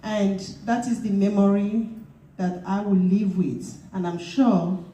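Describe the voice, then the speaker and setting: A woman from Nigeria speaks at a memorial in a slow, earnest and heartfelt way, and her voice is very dark and mellow. She is heard from a distance in an echoey room with a faint wash of noise. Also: medium pitch, fairly even intonation. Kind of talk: memorial tribute speech. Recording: roomy hall mic from far off with background noise.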